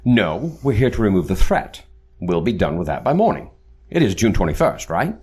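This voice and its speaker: An actor playing a self-assured, punctual leader of secret agents in an audio drama, formal and commanding. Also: male voice, fairly low pitch, very animated, normal speed, darkish tone, husky. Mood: calm, assured.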